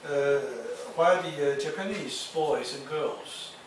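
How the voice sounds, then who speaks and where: A man giving a university lecture, speaking haltingly with many fillers and restarts. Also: on the high side. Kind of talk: classroom lecture. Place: lecture hall. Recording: echoey lecture hall, distant mic, noisy.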